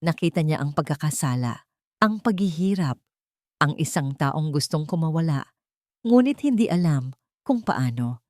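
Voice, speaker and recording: A woman's voice: sits low, expressive, moderate pace, a little mellow, dry recording, clean studio sound. A woman reading dramatic scripted fiction as a story narrator.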